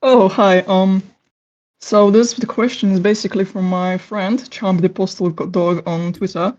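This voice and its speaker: A woman's voice: mid-range voice, steady tone, unhurried, a little mellow, highs cut off. A woman asking a fan question, hesitant and giggly.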